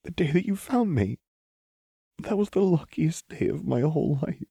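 Man voicing a tender, breathy young woman soldier. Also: on the high side, animated, normal speed, dark tone, dry recording, studio quality, pitched above the performer's own voice, heavily acted. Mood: tearful, adoring. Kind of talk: audiobook character dialogue.

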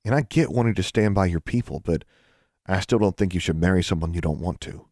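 A frank teenage boy, voiced by a man in his natural tone. He talks things through in a low, plain way. The sound is dry and close. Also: a little fast, a little mellow, dry recording.

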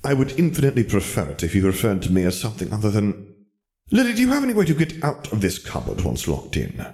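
A man voicing a bitter, arrogant dark wizard for an audiobook, soft yet commanding, ranting with scornful, precise words. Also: lowish voice, wildly swooping pitch, fast, voice pushed up. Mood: cold, exasperated. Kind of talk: audiobook character voice.

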